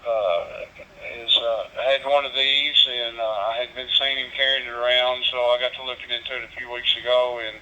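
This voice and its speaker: Male radio hobbyist, folksy and halting, bright tone through muffled digital transmission. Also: mid-range voice, little pitch movement, slight background noise. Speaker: amateur radio operator. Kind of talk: casual ham radio chat. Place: over a radio link. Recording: dull, lo-fi digital radio audio.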